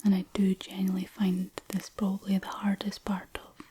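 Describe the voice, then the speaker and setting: A woman gently giving a whispered ASMR language lesson as a tutor, unhurried and instructional. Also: mid-range voice, monotone, breathy edge.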